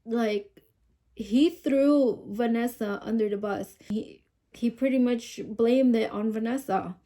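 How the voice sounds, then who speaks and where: Stuffy-nosed woman, slow true-crime commentary. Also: a little high, mellow. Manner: chatty, asks viewers questions. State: sick with a stuffy nose, sniffling. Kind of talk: casual commentary reading news aloud.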